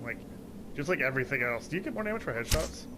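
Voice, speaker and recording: A man in his twenties giving casual, rambling commentary over gameplay, full of "like" fillers and jumping up in pitch when excited. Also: quick pace. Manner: rambling, heavy use of "like" fillers. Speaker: let's play commentator. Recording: steady noise behind voice.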